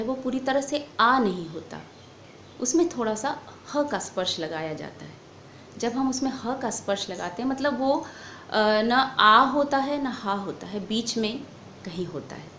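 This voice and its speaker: A woman giving a formal, measured lecture as a singing teacher. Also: mid-range voice, lively intonation, fairly bright tone. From India. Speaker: music lecturer, vocal teacher. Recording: echoey room with faint background noise.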